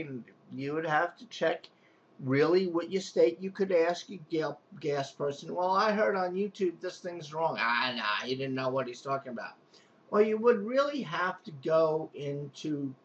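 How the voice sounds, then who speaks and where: Rambling Long Island repairman, dull lo-fi sound. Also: medium pitch. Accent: Long Island New York. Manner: rambling, loose, with many restarts and fillers. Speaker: pool and spa technician. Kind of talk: unscripted how-to demonstration.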